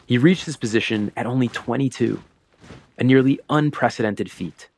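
A man narrating a historical documentary in a measured, solemn, scripted way with dramatic emphasis. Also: mid-range voice, darkish tone, a little hiss or hum.

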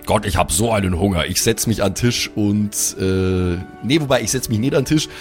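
Mitspieler mit eher tiefer Männerstimme. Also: male voice, fairly low pitch, brisk, soft background music. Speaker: role-play podcast player. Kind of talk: casual improvised first-person narration.

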